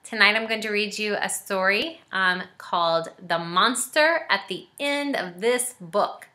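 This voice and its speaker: A middle-aged woman reading a picture book aloud to young children, pausing to explain and ask questions. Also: mid-range voice, bright, forceful tone. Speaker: elementary school staff reading aloud. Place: small living room, indoors.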